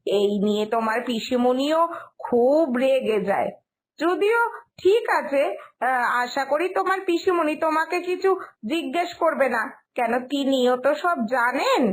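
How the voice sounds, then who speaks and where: A fussy older mother-in-law in a cartoon family tale, played by a woman. Her voice is high, and she explains herself in a firm, stubborn way. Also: expressive, a little slow. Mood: explaining. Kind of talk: cartoon story dialogue.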